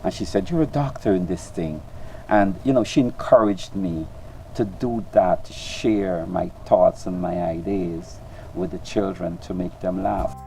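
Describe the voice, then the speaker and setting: A man's voice: sits low, unhurried, vocal fry, mellow, a little hiss or hum, rough recording. An older man with a Guyanese accent, a storyteller and clown giving earnest interview answers, often repeating words as he searches for them.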